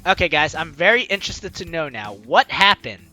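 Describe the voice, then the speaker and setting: A man's voice: a little high, very animated, moderate pace, music in the background. A man hosting a gaming video, reading game narration aloud and throwing in jokey asides. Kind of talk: let's play commentary mixed with reading game narration aloud.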